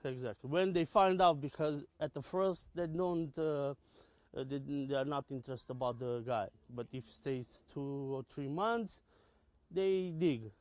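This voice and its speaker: A man with a Romanian accent answers in halting English, often stopping on fillers. His voice sits a little high. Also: darkish tone, no echo. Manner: hesitant, filler-heavy English. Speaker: interviewee.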